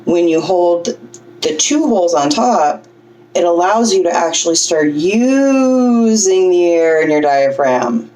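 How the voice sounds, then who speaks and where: A woman with a lowish voice talks through a breathing exercise in a relaxed, self-deprecating way. She keeps checking in with the listener as she coaches. Her voice sits a little back from the mic. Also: takes it slowly, recorded from a distance, rough recording. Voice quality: low singing voice. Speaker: vlogger teaching breathing. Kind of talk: casual instructional vlog.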